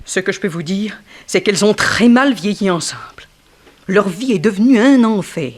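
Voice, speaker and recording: A bitter former ballerina , acted by a woman, in an old French radio whodunit. Her voice swings widely from slow, loaded emphasis to sharp outbursts. She is theatrical and insinuating, with a cutting tongue. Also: mid-range voice, animated, natural voice. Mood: sardonic, gossiping. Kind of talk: French 1960s radio detective drama acting.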